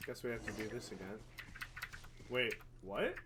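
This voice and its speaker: A woman's voice: very deep voice, moderate pace, noisy background. A woman doing casual, slangy live gameplay commentary as a game streamer. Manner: slangy, swearing.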